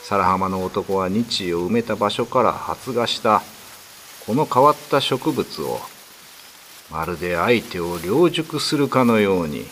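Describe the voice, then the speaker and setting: A man's voice: on the low side, fairly bright tone, a little hiss or hum. A man narrating a classic ghost story as a dramatic scripted reading.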